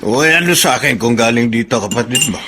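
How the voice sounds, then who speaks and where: A man playing a bitter, self-pitying old Filipino father who feels unwanted, with a raspy, strained, aggrieved voice in a radio drama. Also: mid-range voice, animated, fast, noisy background, lightly acted. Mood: bitter, resigned. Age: older. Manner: aggrieved, accusing, sulking.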